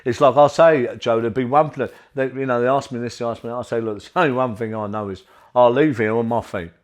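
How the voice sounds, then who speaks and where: A man's voice: medium pitch, steady tone, fast. Agreeable male interview host. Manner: agreeable, affirming, swears casually. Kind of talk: casual interview chat.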